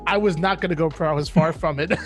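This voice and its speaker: Male interview guest, 30, New Jersey accent. Distinctly high voice, playful and informal, circling back over his phrases. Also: fairly even intonation, quick pace, faint music behind. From New Jersey, United States. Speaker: interview guest, esports caster and content creator. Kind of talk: casual interview chat.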